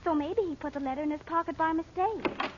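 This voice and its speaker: On a muffled old radio recording, a woman plays an earnest girl. Her voice is very high, eager and questioning, in the manner of a 1940s serial. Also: fast, background noise, lightly acted. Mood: worried, curious. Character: earnest, inquisitive young daughter, a girl.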